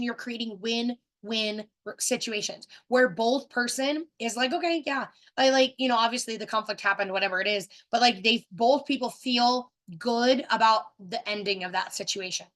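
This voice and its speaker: A woman with a somewhat high voice hosts a casual webinar. She speaks directly and with big energy, often restarting her sentences and padding them with fillers. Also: a bit bright. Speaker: webinar host. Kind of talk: casual teaching talk.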